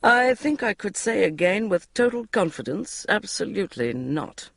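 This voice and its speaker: A woman's voice: mid-range voice, very animated, moderate pace, creaky, a bit bright, husky, dry recording, clean studio sound, heavily acted. A woman voicing a reserved, curt man, speaking in clipped, abrupt phrases.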